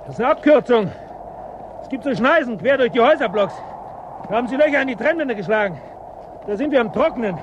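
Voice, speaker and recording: Suspicious scavenger, unusually high male voice, curt and mocking, radio drama with loud outdoor noise. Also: animated, fairly quick, pauses often, dull audio. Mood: bitter, curt. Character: cynical, suspicious male looter in a frozen ruined town. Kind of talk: German radio drama acting.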